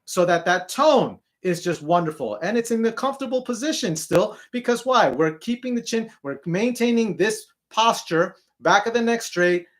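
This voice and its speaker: A man with a deep speaking voice teaching a singing lesson, who ends nearly every phrase with "okay?" and sings short demonstration notes. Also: expressive, normal speed, hardly any pauses.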